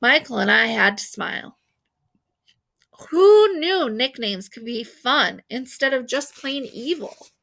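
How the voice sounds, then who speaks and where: A woman reading a children's novel aloud as an expressive storyteller, narrating in the first person. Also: fairly high pitch, takes it slowly, gravelly.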